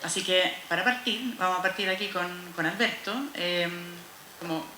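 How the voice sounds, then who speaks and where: A woman's voice: fairly low pitch, normal speed, sharp tone. A woman moderating a conference panel, speaking with frequent hesitations. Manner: hesitant, with fillers. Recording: echoey room with faint noise.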